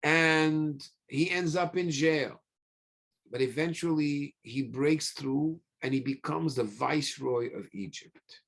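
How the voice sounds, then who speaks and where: A man preaching as a rabbi, emphatic, with frequent pauses, repeated phrases and rhetorical questions. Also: on the high side, creaky, fairly bright tone. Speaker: rabbi giving a religious lecture. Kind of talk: sermon, teaching through scripture stories.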